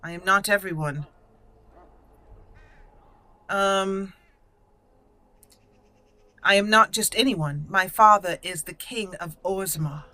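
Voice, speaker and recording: Woman playing a bright-voiced, haughty young noblewoman. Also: mid-range voice, slow pace, long pauses. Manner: formal, stately, haughty. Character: proud, formal young noblewoman mage, haughty. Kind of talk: roleplaying in a fantasy game.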